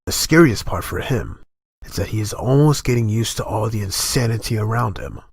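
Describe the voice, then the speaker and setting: Gravelly, husky male narrator. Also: lowish voice, expressive, normal speed. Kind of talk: dramatic scripted narration.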